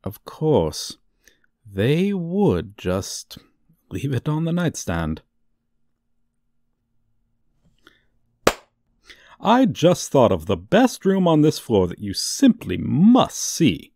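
A man playing a gloating, suave supervillain in a comedic ASMR roleplay, with a theatrical, teasing, drawn-out delivery. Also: medium pitch, warm tone, slight background noise. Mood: smug, playful. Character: gloating, suave, theatrical male supervillain toying with a young hero.

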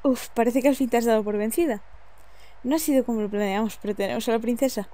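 A woman's voice: a little high, quick pace, dark tone, close to an everyday voice. A woman from voicing a cocky, gallant young male thief from a video game in a breezy, reassuring manner. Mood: assured.

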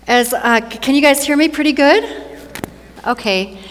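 A soft-voiced woman from northern India giving an earnest testimony sermon, repeating phrases for emphasis. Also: high voice, moderate pace, a little hiss or hum. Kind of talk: sermon and personal testimony to an audience. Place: large church stage, indoors. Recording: long hall reverb.

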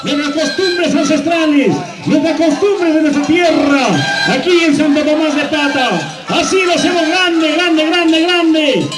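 A man with a Peruvian Andean Spanish accent announcing over a PA at an outdoor festival, rousing the public with drawn-out shouts and repeated words. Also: extremely high pitch. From Huancavelica, Peru. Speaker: announcer at a carnival dance contest. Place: outdoor town plaza. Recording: echoey PA, loud music and crowd. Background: music and crowd.

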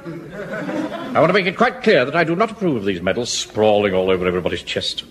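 An actor playing a pompous, indignant middle-aged army captain in a radio sitcom. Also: male voice, medium pitch, fairly quick, crowd noise, natural voice. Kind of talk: 1970s radio sitcom acting. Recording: old broadcast with faint hiss.

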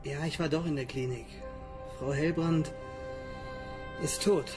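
A man's voice: on the high side, fairly even intonation, unhurried, music in the background, natural voice. An actor playing a bold, curious young man turned amateur investigator, speaking colloquially and urgently in a radio drama. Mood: subdued. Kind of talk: German radio drama acting.

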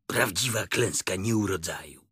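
A man's voice: low voice, expressive, a little slow, sharp tone, slightly rough, no echo, studio quality, heavily acted. A man voicing a bossy, cautious rabbit in a children's story, fussy and given to muttering. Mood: sour, grumbling. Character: bossy, grumbling, cautious male garden rabbit.

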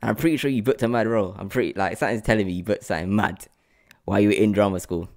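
A man's voice: fairly low pitch, very fast, gravelly. A young man with a London accent hosting a casual interview, effusive and repeating words for emphasis. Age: young adult. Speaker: podcast host, actor. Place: indoor room at home, video call. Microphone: large desk microphone up close.

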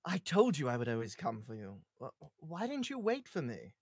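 A man's voice: medium pitch, huge pitch swings, normal speed, husky, dry recording, studio quality, full character voice. A man playing a sardonic, bitter yet devoted masked swordsman in a screenplay table read. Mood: tender, devoted.

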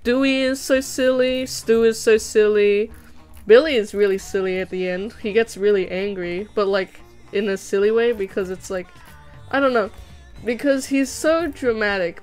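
Giggly young woman rambling on stream. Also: on the high side. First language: Vietnamese. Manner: rambling, giggly, many fillers and restarts. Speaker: streamer animating while chatting. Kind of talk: casual chat. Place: home room.

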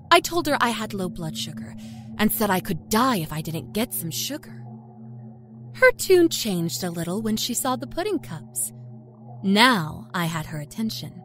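A woman narrates dark fiction in the first person. Her delivery is expressive and dramatic, with lively pitch movement over a soft musical score. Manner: expressive, storytelling.

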